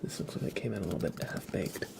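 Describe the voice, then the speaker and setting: A man hosting an ASMR livestream, speaking in soft whispers with a gentle, playful manner. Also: sits low, sing-song, quick pace, vocal fry, noisy background. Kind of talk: ASMR, whispered roleplay and chat with viewers.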